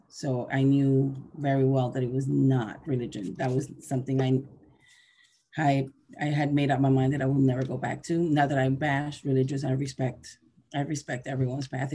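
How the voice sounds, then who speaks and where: Expressive woman in her forties, chatting casually. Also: extremely low pitch, moderate pace, breathy edge. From Venezuela, then New Jersey from age eleven. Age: 40s. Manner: expressive, repeats words, acts out quotes. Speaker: podcast guest, herbalist teacher. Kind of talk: casual conversational storytelling.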